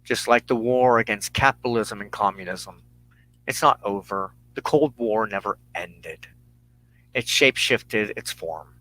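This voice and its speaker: An American man giving an earnest personal monologue as a broadcast host, speaking in deliberate, halting phrases with emphatic pauses. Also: lowish voice, pushed and bright, low-quality recording. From United States. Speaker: host of a weekly religious broadcast.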